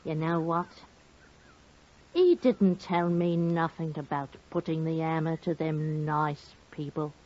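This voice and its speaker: A low-voiced, unhurried country wife from an old radio play, folksy and gossipy. She is dramatic and sweetly indignant, heard through a muffled vintage recording. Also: fairly even intonation, darkish tone. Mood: confiding. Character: talkative rustic English wife, wronged, sweetly indignant. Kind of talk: 1950s radio drama acting.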